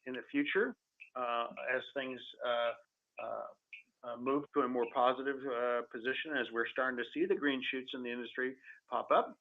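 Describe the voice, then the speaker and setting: Halting executive man on a phone line. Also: mid-range voice, steady tone, creaky. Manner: halting, hesitant, careful phrasing with repeated words. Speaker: company executive answering analyst questions. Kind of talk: unscripted corporate earnings call answers. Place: conference call. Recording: tinny telephone conference line.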